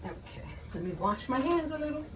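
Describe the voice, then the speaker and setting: A woman's voice: high voice, big pitch swings, brisk, a little hiss or hum. A woman in her fifties with a Puerto Rican accent hosts a casual home cooking vlog, chatty and self-deprecating, laughing often as she cooks in her kitchen. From Puerto Rico. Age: 50s. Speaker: cooking channel host.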